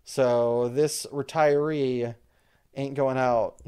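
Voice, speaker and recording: A man's voice: medium pitch, fairly even intonation, drawn-out words, warm tone, clear, resonant tone. Joking man co-hosting a podcast. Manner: jokey, laughs often, trips over his words. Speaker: podcast co-host. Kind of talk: casual podcast chat with a mock ad read.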